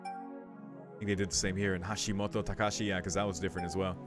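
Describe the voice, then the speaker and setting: A man's voice: sits low, little pitch movement, fast, over music. A man giving casual, laid-back commentary as he plays through a game, murmuring short reactions. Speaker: video game streamer.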